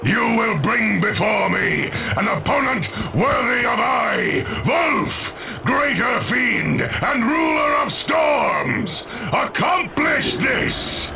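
An actor voicing a huge, arrogant werewolf fiend lord in a video game, gravelly, grandiose and theatrical, drawing out the words with imperious disdain. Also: male voice, very high-pitched, expressive, raised voice, background noise, heavily acted. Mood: imperious, roaring. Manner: grandiose, theatrical, drawn-out delivery. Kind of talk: video game villain acting.

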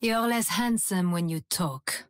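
A woman's voice: on the low side, unhurried, no echo, clean studio sound, close to an everyday voice. An actress playing a cold, aloof female sniper assassin with a French accent and a clipped, disdainful manner. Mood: cold, dismissive.

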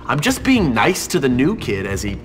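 A man's voice: medium pitch, wildly swooping pitch, moderate pace. A mocking, manic teenage boy schemes through a game cutscene with music behind him. His swoops are extreme, his friendliness is theatrical and dripping with sarcasm. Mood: sarcastic, mocking. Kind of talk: video game cutscene acting.

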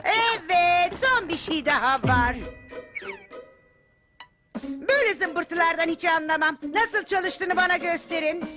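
A woman's voice: very high-pitched, slow, pauses often. A woman voicing a haughty, demanding female insect aunt in a dubbed cartoon. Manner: imperious, demanding, theatrical. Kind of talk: cartoon dub acting. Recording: music behind the voice.

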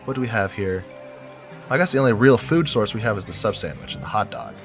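A man's voice: fairly low pitch, very animated, fast talker, faint music behind. A man with a head cold and a nasal, stuffy voice gives casual commentary while building in a game, thinking aloud and correcting himself. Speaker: game video creator.

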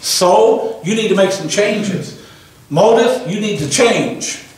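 A man in his sixties preaching a sermon into a handheld microphone in a small church hall, pausing for the congregation's amens. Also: high-pitched, expressive. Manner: emphatic preaching with call-and-response amens. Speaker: pastor. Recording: echoey room from a distant camera.

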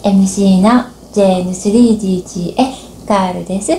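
A woman's voice: medium pitch, fairly even intonation, brisk, dark tone, faint music behind. A middle-aged woman hosting in a polite, conversational, scripted way, recorded in a small room at home. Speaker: host, MC. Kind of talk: scripted presenting. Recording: roomy echo, faint background hiss.

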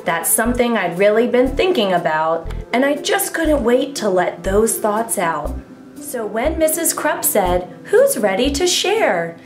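A woman reading a rhyming children's book aloud in an animated storytelling style. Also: mid-range voice. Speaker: storybook reader. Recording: echoey room with music underneath. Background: music.

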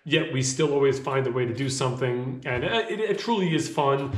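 A man in his thirties talking casually to camera from a small home office, rambling a bit with fillers, his voice slightly hoarse from a cough. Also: mid-range voice, lively intonation, brisk. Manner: conversational, rambling with fillers and restarts. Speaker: vlogger. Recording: echoey room.

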